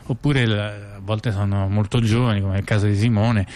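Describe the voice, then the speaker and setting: A man's voice: on the low side, steady tone, normal speed, darkish tone. A man, a theatre performer being interviewed, telling stories in a chatty, rambling, colloquial way. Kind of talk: casual spontaneous storytelling in an interview.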